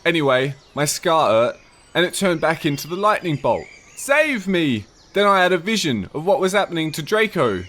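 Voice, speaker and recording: A man's voice: a little high, big pitch swings, normal speed, a bit bright. A man playing an overwrought goth teenage boy wizard in a cartoon, with a melodramatic delivery. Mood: urgent.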